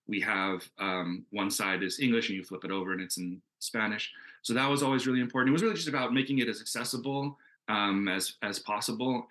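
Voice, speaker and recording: Low, bright-voiced man rambling earnestly. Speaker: comic book creator on a Q&A panel. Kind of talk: casual, thoughtful panel answer.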